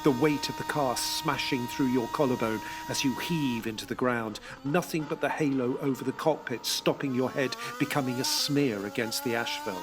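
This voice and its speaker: A man's voice: mid-range voice, moderate pace, hardly any pauses. A man giving scripted dramatic narration in an intense, rhythmic way. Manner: intense, rhythmic, second-person at times.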